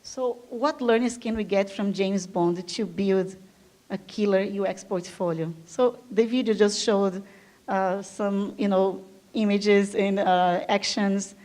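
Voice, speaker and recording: A woman's voice: medium pitch, steady tone, slow, darkish tone. Enthusiastic Brazilian-born woman giving a talk. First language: Portuguese. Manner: enthusiastic, chatty, frequent fillers. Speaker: guest speaker. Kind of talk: conference talk. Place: event room with live audience.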